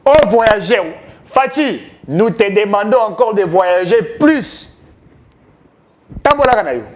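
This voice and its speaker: Man, high-pitched, declamatory, political commentary. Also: expressive, slow pace. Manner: emphatic, declamatory, mixing French with Lingala.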